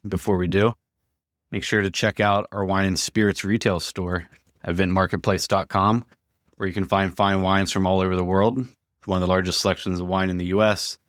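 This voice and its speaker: A man's voice: sits low, no echo, studio quality. A man co-hosting a podcast, talking in a casual conversational style. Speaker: podcast co-host.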